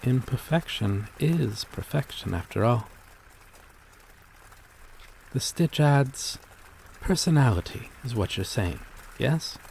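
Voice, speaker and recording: A man playing a gentle, courteous vampire in an intimate ASMR roleplay, speaking in a formal, hesitant, apologetic way. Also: mid-range voice, animated, slow, gravelly, long pauses, a little hiss or hum. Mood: courteous, grateful. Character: courteous, gentle male vampire stranger, kindly and old-fashioned.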